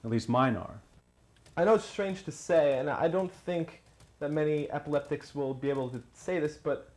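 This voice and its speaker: A man's voice: on the high side, moderate pace, darkish tone, natural voice. A man playing a mild, candid interviewee in an audio drama, speaking in a hesitant, reflective way.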